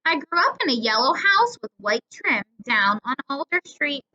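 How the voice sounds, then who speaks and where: Lady reading as a chatty old storybook grandma, high and bright, folksy, says what she thinks, bit lo-fi. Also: big pitch swings, moderate pace, exaggerated voice. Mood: fond, reminiscing. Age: older. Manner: plainspoken, opinionated, rambling. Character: outspoken, folksy old grandmother reminiscing to a classroom. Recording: dull lo-fi recording.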